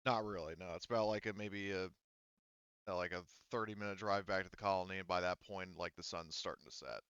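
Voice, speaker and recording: A man with a smooth, fairly low voice acting as game master. He explains the scene casually, stopping and restarting, on a close, dry mic with slightly dulled sound. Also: a little fast, fairly bright tone, no room sound, dull audio. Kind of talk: casual tabletop game narration and chat.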